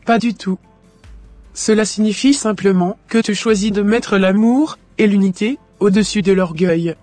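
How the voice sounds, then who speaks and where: A woman playing a wise, conciliatory mother-in-law in a scripted cartoon drama, giving advice in a measured way. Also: mid-range voice, mellow, no room sound, faint music behind, natural voice. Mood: calm, advising. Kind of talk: scripted animated drama dialogue.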